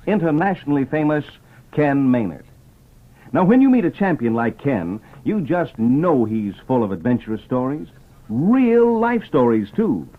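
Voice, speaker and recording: A man announcing and pitching to children in 1950s radio style, with an emphatic, enthusiastic salesman delivery. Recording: muffled old radio transcription.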